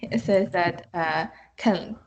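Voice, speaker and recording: A woman in casual conversation, speaking haltingly as she searches for her words. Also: on the low side, vocal fry, highs cut off, slight background noise. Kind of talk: casual chat.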